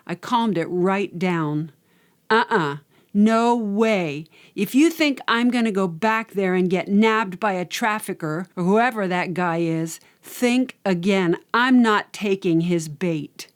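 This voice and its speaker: A woman narrating first-person fiction in an expressive, animated storytelling manner, in the character of a streetwise youngster. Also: lowish voice.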